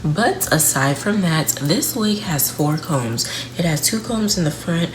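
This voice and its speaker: A woman's voice: deep voice, expressive, measured pace. A young woman reviewing a wig for her channel, a little congested from a cold, chatty and talking straight to her viewers. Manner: chatty, addresses viewers directly. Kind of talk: casual beauty review vlog. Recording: noisy home recording.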